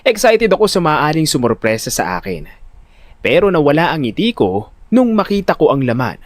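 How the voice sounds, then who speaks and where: A man with a Filipino accent playing a jittery, vain but good-natured young everyman who tells his own story in an audio drama, expressive and dramatic. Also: on the high side. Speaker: narrator and lead actor. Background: music.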